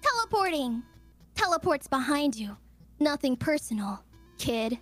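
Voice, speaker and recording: A woman's voice: high voice, big pitch swings, measured pace, fairly bright tone, faint music behind. A woman chatting casually and delivering short voice lines as a performer.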